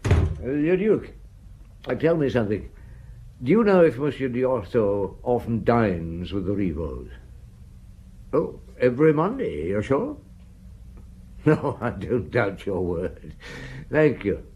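An actor in a British radio drama playing a shrewd, stubborn middle-aged French chief inspector, wry and given to murmuring to himself. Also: male voice, mid-range voice, very animated, slow, long pauses, lo-fi sound, slight background noise. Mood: businesslike.

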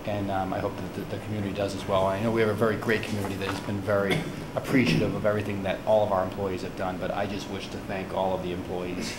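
Flat-voiced man reporting quickly. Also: low-pitched. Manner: earnest, fast, with many restarts. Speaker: town administrator. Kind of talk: official report at town meeting. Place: town hall meeting room. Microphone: table microphone. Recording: echoey room with hiss.

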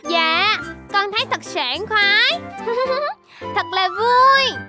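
A woman's voice: very high voice, very slow, soft background music, full character voice. A woman voicing a cheerful, eager little baby shark child in a children's cartoon dub, giggly and exclamatory.

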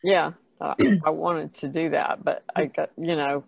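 Creaky-voiced woman in casual chat. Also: low voice, fairly even intonation, a little slow, no echo, low-quality recording. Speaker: painter, podcast guest.

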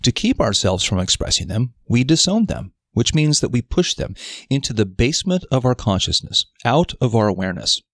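Expressive man narrating a self-help article. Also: mid-range voice, moderate pace, no room sound, clean studio sound.

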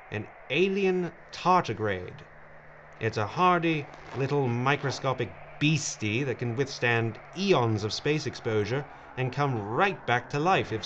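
Man acting a smug, slow-talking mad villain. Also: mid-range voice, fairly bright tone, slight background noise. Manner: mocking, theatrical, drawling. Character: gleeful mad villain, smug greedy schemer. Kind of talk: audio drama acting.

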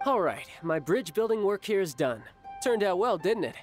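A young male schemer from a fantasy game, smooth-talking and cocky. His breezy, teasing delivery swings around in pitch with a hint of playful menace. Faint music sits behind the voice. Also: big pitch swings, normal speed. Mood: breezy, pleased. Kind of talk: video game character dialogue.

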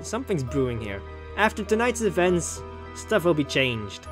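A man's voice: on the high side, very animated, moderate pace. Casting: jokey man narrating a visual novel playthrough, dramatic reader, music underneath. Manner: theatrical, jokey. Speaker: gaming video host. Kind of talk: visual novel read-aloud with joking commentary. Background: game music.

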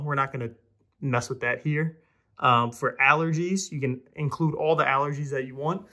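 A man's voice: mid-range voice, sharp tone. A man with a mid-range voice presents a hands-on tutorial. He explains things step by step in an informal, conversational way, addressing the viewers directly as he demonstrates. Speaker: presenter of a restaurant equipment tutorial.

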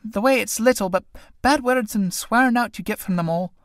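A woman's voice: on the high side, brisk, dry recording, studio quality, voice pushed up, lightly acted. A woman reading a play aloud and voicing a sly, drink-loving old tinker woman with a mocking, wheedling manner.

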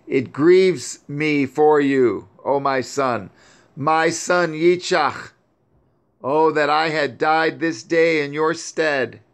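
A man reading as a sorrowful, devout old mother who laments at a slow, drawn-out pace. Her voice rides higher than the reader's usual tone. She pleads and mourns through a storybook scripture reading. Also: clean tone. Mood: weeping.

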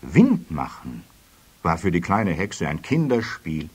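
A man playing the narrator of a children's fairy tale, reading scripted storybook prose with expressive, dramatic phrasing. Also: low voice, slow pace, husky, heavily acted. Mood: suspenseful.

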